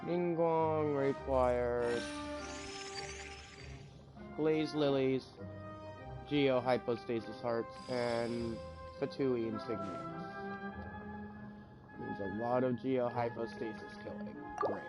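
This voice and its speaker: A man's voice: medium pitch, fairly even intonation, slow pace, pauses often. A man doing casual gameplay commentary as a streamer, thinking aloud and muttering through lists that trail off. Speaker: game streamer. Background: game music.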